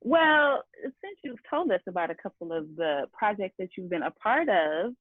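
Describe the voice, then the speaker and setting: A woman's voice: mid-range voice, animated, normal speed, no echo. A woman hosting an interview series over a video call, talking in a rambling, repetitive way. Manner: warm, rambling, repeats words. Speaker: interview host. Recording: dry, phone-like call audio.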